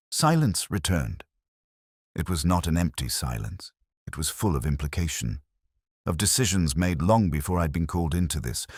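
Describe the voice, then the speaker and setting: A man narrating a scripted detective story in the first person, with a measured, brooding, literary delivery. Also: very deep voice, breathy edge, dry recording, studio quality.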